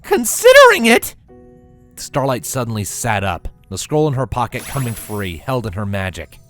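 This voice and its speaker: Lively male storyteller over faint music. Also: lowish voice, moderate pace. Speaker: fan fiction reader. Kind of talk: scripted story narration with character voices.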